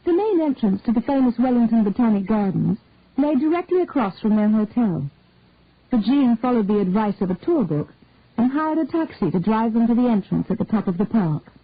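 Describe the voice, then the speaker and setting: A woman reading a short detective story aloud in a measured, deliberate narration. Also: a little high, animated, dry recording. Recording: tinny phone-like dry sound.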